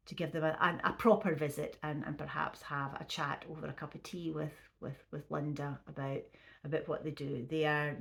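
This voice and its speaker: A woman in her fifties talks to her viewers in an easy, unhurried way, stopping and restarting with plenty of ums. Her voice sits low and is a little croaky. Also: a bit bright. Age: 50s. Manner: chatty, with frequent restarts and ums. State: slightly croaky voice. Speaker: vlogger. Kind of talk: casual talking to camera.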